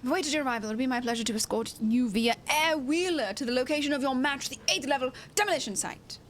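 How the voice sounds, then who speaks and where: A woman's voice: high voice, fast talker, a little hiss or hum, natural voice. A woman voicing a courteous, formal chauffeur from a video game, reporting dutifully in an amateur dub. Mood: deferential. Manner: polite, formal. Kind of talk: amateur voice acting of game dialogue.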